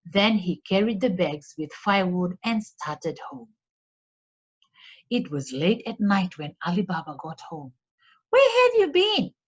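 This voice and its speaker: A woman narrating a storybook in a deliberate, expressive read-aloud manner for children, on a slightly dull recording. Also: lowish voice, husky.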